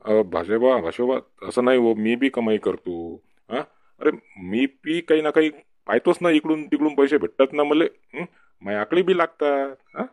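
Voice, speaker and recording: A man's voice: medium pitch, lively intonation, moderate pace, a little mellow, no room sound, natural voice. A man playing a pushy, boastful drunkard uncle in a village cartoon, rambling and cajoling with a Varhadi Marathi accent. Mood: defensive. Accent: Varhadi Marathi (Vidarbha). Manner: rambling, cajoling, repetitive tag questions. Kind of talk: comic village cartoon dialogue.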